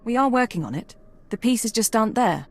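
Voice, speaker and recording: An actress playing a precise, analytical young intelligence analyst in a video game, clinical and matter-of-fact. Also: female voice, fairly high pitch, expressive, brisk.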